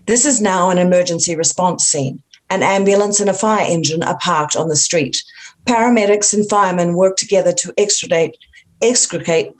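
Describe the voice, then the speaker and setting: Woman narrating a script, lower-pitched voice. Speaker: stage-direction narrator.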